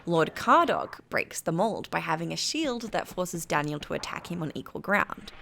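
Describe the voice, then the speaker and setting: British woman narrating, slightly creaky voice. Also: lowish voice, sing-song, normal speed, noisy background. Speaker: video essay narrator. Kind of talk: scripted game review narration.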